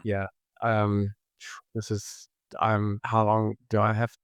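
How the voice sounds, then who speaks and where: A man's voice: lowish voice, monotone, slow, clear, resonant tone, dry recording, clean studio sound. A South African man with a South African accent, talking reflectively as a podcast guest and often searching for his words. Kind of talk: reflective conversational interview.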